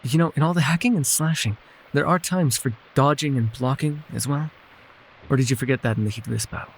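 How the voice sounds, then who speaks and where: A man playing a gentle, weary immortal elf healer with a wry streak, speaking softly in measured, formal phrases for an intimate fantasy ASMR roleplay. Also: mid-range voice, slight background noise, lightly acted. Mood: wry, chiding.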